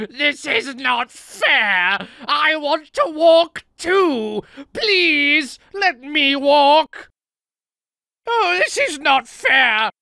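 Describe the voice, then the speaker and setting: A man's voice: very high-pitched, creaky, bright, forceful tone, no room sound, studio quality, exaggerated voice. A man voicing a fussy, indignant middle-aged civilian for improvised video game lines, prim, whiny and pleading.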